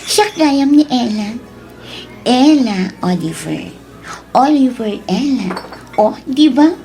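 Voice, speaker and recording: A woman's voice: fairly high pitch, lively intonation, takes it slowly, gravelly, mellow, faint music behind, exaggerated voice. A woman with an Ilocano accent acting a flirtatious other woman in a radio drama. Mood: teasing, flirty.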